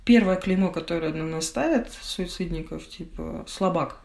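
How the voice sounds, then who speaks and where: A young woman giving a candid personal account as a podcast guest, speaking in halting, reflective phrases. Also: fairly low pitch, creaky, a little mellow.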